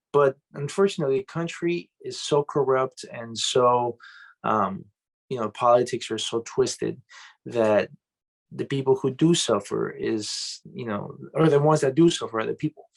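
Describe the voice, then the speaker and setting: A young adult man from Colombia, a pastor and music minister, telling stories in a loose, animated way on an interview show. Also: medium pitch, gravelly. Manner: loose and animated, says "man" and "bro" a lot. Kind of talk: casual interview storytelling.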